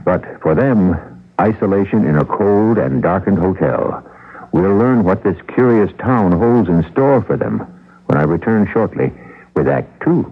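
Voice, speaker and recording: A man hosting a radio drama, narrating scripted suspense in a theatrical, deliberate old-time style. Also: low-pitched, animated. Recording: tinny old radio, loud music underneath.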